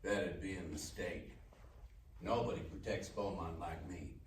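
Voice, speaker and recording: A man's voice: low-pitched, steady tone, measured pace. A man acting a proud, menacing older sheriff at a live table read, blunt and authoritarian. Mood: low, menacing. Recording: echoey, distant room mic with faint noise.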